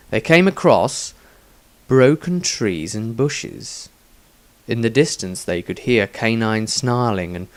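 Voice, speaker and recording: A man reading his own scripted fantasy story aloud as narrator, with a dramatic storytelling delivery. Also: mid-range voice.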